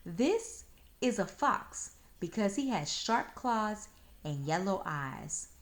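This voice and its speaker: A woman's voice: medium pitch, big pitch swings, slow pace, voice pushed up. A woman voicing a lazy, self-assured female tabby cat in a children's storybook. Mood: purring, assured.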